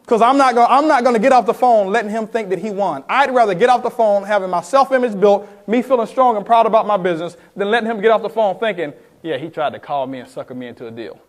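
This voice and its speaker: High-pitched emphatic man giving sales training. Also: quick pace, few pauses. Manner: emphatic, addresses the audience with questions. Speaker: sales trainer, seminar speaker. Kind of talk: motivational sales seminar talk with role-played phone calls.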